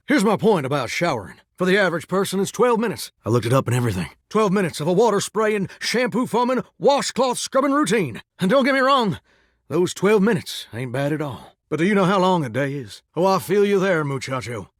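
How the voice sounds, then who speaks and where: A man's voice: on the high side, sing-song, brisk, no echo, studio quality. A man playing a folksy, flirtatious talking shower in a video game, with a theatrical Southern US drawl and a wistful streak. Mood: playful, chatty. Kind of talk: video game character acting.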